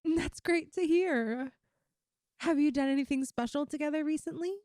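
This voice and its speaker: A woman's voice: high voice, moderate pace, a little mellow, dry recording, clean studio sound, lightly acted. A woman voicing a playful, affectionate young lamia best friend in an intimate, chatty ASMR roleplay. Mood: teasing, casual. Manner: teasing, chatty, giggly. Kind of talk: ASMR roleplay, one-sided intimate conversation.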